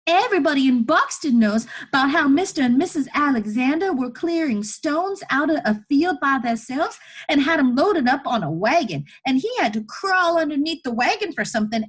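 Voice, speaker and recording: A woman's voice: high-pitched, pitched above the performer's own voice. A woman voicing a frightened, stubborn eleven-year-old boy in a storybook, speaking an old-time rural dialect with earnest insistence.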